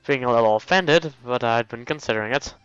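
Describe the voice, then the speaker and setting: A man's voice: mid-range voice, quick pace, fairly bright tone, clear, resonant tone, dry recording. A young man reading a visual novel aloud as a let's play host, playfully commenting and stumbling over lines. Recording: dull, lo-fi mic with music. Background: game music.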